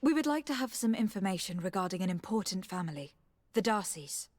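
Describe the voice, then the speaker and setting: A woman's voice: mid-range voice, expressive, brisk, a little mellow, no echo, natural voice. An actress playing a poised, courteous young noblewoman diplomat in a video game, speaking formally and reassuringly. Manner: polite, composed, formal. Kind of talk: scripted video game dialogue.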